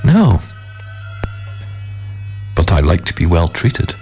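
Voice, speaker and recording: A man's voice: deep voice, huge pitch swings, slow, creaky, mellow, soft background music, full character voice. A man voicing a mischievous, proud talking badger tea kettle in a storybook. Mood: plaintive.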